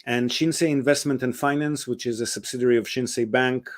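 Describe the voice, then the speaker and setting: A man's voice: mid-range voice, fairly even intonation, brisk. A man with an ordinary middle-range voice, speaking as a property investment advisor. He explains things step by step and keeps talking through little ums and uhs. The delivery is fluent and patient. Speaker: real estate investment advisor, guest. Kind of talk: explanatory interview answers.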